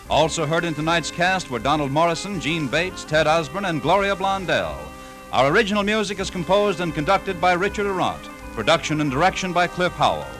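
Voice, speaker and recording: A man with an American accent reading scripted announcements in a 1950s radio announcer style. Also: high voice. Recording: 1950s radio recording, faint music.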